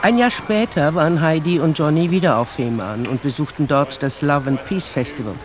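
A man's voice: a little high, moderate pace, background noise, close to an everyday voice. A man narrating a radio play in a scripted storytelling style.

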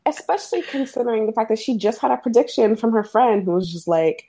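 A young woman musing aloud about a TV episode, animated and full of "like" fillers. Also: a little high, fast. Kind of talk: casual chat about a TV episode.

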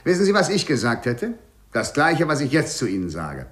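A sly, worldly crook behind bars in 1950s radio drama style. His lively intonation slides between wheedling bargains and sardonic mockery, with a moderate male pitch. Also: moderate pace. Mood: mocking, amused. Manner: wheedling, sardonic, chuckling asides. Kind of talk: 1950s German radio play acting.

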